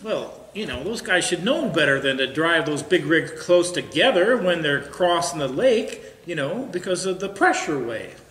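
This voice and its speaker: A man's voice: fairly high pitch, very animated, normal speed, hardly any pauses, fairly bright tone. An actor playing an earnest, gossipy small-town man at cards in a comic stage play, folksy and plainspoken. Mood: earnest gossip. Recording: echoey stage sound.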